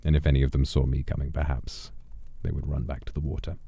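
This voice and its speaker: Give the stream a man with an extremely low, very dark and mellow voice, playing a centuries-old demon persona. He tells stories in a musing, theatrical manner with a creaky edge, close on the microphone. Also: level tone, fast, dry recording. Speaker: livestream host. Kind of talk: in-character storytelling and casual livestream chat. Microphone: close studio microphone, dry.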